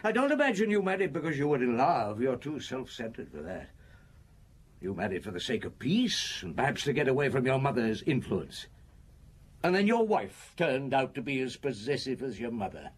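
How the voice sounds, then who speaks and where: An actor playing a shrewd, weary senior police detective in a radio drama, with a husky voice and a probing, scornful manner. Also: male voice, medium pitch, sing-song. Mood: accusing, pressing. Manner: probing, sardonic, deliberate phrasing.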